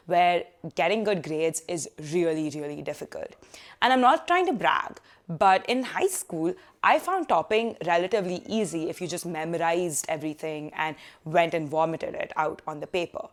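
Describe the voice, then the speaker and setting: Chatty young woman giving student advice. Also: on the low side. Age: young adult. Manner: chatty, animated hand gestures, quick asides. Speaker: student vlogger. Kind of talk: casual advice vlog. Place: living room sofa, indoors.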